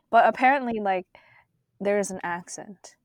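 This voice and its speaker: A young woman from Korea chats casually on a podcast, full of fillers and quick laughs. Her voice is recorded dry and close, with no room around it. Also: mid-range voice, no echo. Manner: chatty, many fillers, frequent laughs. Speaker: podcast co-host. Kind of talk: casual chat.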